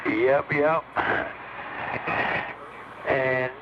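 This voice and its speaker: A man's voice: mid-range voice, steady tone, extremely slow. A man chatting casually as an operator over a CB radio. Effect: CB radio transmission. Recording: muffled, noisy CB radio reception.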